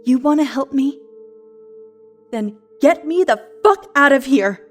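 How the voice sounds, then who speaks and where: A defiant shapeshifting monster, played by a woman with a fairly high voice, snapping with sarcasm and bitterness. It is an audio horror drama, with music underneath. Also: animated, a little slow. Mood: furious. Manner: sarcastic, foul-mouthed, combative. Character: defiant, bitter century-old female shapeshifting monster in human form.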